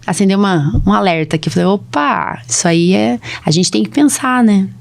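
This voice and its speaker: A woman's voice: medium pitch, normal speed, gravelly. Chatty Brazilian woman explaining on a podcast. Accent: Brazilian Portuguese. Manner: chatty, explanatory, frequent tag questions seeking agreement. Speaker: podcast host and physician. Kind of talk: casual podcast conversation.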